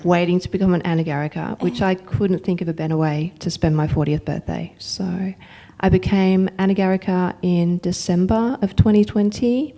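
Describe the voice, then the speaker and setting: A Buddhist nun in her forties from Australia introducing herself at a retreat, unhurried and hesitant with many fillers. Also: on the low side, fairly even intonation, dark tone. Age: 40s.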